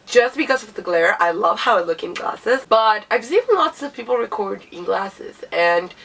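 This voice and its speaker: A woman's voice: medium pitch, expressive, moderate pace, creaky, a bit bright, breathy edge, distant mic. A young woman from Chile, a native Spanish speaker, with a cold, chatting casually to camera about books with lots of filler words. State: has a cold. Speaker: book vlogger. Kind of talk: casual vlog monologue.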